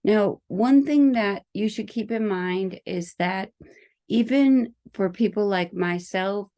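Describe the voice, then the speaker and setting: A woman who speaks English natively, giving an informal explanatory lecture as an educator in a careful, halting manner. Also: medium pitch, dark tone. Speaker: teacher educator explaining a learning principle.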